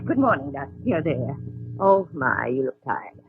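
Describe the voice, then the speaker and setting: A fussy hospital receptionist. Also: male voice, medium pitch, big pitch swings, normal speed, gravelly. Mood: gossipy. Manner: fussy, gossipy. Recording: tinny old radio recording with hiss.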